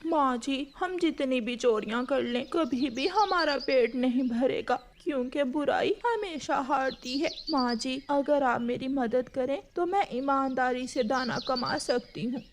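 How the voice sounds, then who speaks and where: A woman's voice: sits high, a little slow, hardly any pauses, slight background noise. A woman voicing an honest, principled young daughter-in-law crow in a children's cartoon. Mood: earnest persuading.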